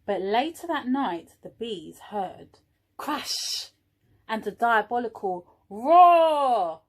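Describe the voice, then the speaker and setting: A woman reading a picture book aloud to young children, expressively, pausing for little asides to the listeners. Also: a little high, very animated. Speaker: early years teaching assistant reading a storybook.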